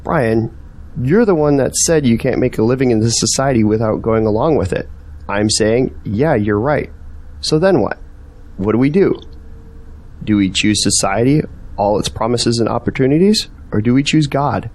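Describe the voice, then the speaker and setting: A man's voice: medium pitch, lively intonation, normal speed, darkish tone, lightly acted. Earnest man, searching and persuasive, questioning manner, audio drama dialogue, acted by a man. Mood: earnest, resolute.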